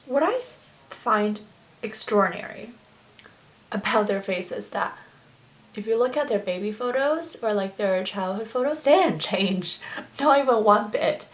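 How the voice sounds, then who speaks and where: A woman's voice: medium pitch, lively intonation, measured pace. A young woman whose first language is Chinese, chatting through a makeup tutorial in a gushing, filler-heavy way. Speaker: beauty vlogger. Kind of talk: get-ready-with-me makeup tutorial chat.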